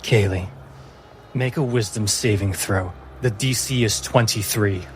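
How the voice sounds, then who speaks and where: A man's voice: lowish voice, unhurried, slight background noise. A man narrating a tabletop fantasy game as game master, in a solemn, dramatic storyteller's style. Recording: music bed under the voice.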